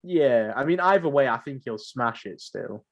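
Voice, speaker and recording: Man, young adult, podcast co-host, casual and halting delivery, slightly dull lo-fi audio. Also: medium pitch, animated. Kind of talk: casual chat.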